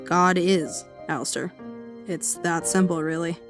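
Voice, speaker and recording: A woman's voice: lowish voice, steady tone, measured pace, over music. A woman acting a brash, foul-mouthed believer in an audio drama, blunt and sarcastic. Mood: defiant, heated.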